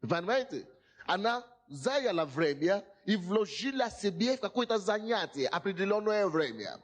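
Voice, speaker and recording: A man's voice: sits high, sing-song, normal speed. A male pastor speaking Russian as a second language, preaching emphatically to a congregation and repeatedly asking whether they hear him. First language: not Russian.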